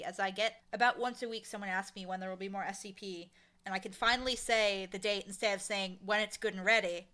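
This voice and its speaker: A woman's voice: mid-range voice, pushed and bright. A woman chatting casually as an interview guest, talking in an enthusiastic, emphatic way. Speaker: interview guest, audio drama showrunner and dialogue editor.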